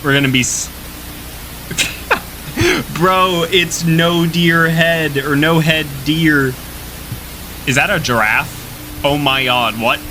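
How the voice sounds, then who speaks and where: A man's voice: a little high, takes it slowly, long pauses, pushed and bright, a little hiss or hum, poor audio. A young man hosting a reaction video with slangy, joking, exclamatory casual commentary.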